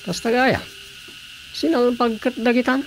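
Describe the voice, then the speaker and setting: A man's voice: very high voice, steady tone, moderate pace, a little hiss or hum, poor audio. A man playing a self-assured village officer-in-charge in a comedic radio drama, commanding and matter-of-fact. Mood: plotting.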